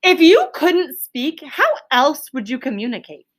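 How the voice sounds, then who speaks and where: A woman's voice: high voice, sing-song, a little slow. A woman teaching an elementary class, quizzing pupils in a firm, directive manner. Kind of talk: classroom lesson with question and answer.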